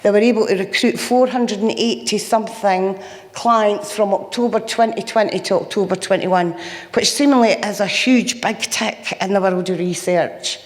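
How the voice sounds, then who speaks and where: A woman's voice: medium pitch, normal speed. Hesitant woman chairing a conference session. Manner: hesitant, informal, with fillers. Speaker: conference chair introducing a speaker. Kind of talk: conference introduction. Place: conference hall. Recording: long reverb of a hall.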